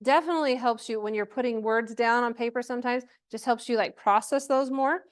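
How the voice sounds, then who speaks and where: Female workshop presenter, higher-pitched voice, chatty and encouraging, informal delivery to a live group. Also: fairly quick. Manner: chatty, encouraging, checks in with questions and "right?".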